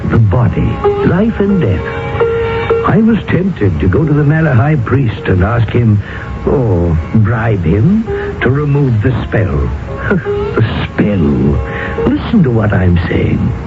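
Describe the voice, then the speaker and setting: An actor playing a concerned, reflective friend who narrates a radio drama in a dramatic, storytelling manner. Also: male voice, medium pitch, huge pitch swings, slow pace. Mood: brooding, intense. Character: concerned, reflective colonial-era friend narrating his tale. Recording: tinny old radio with loud music.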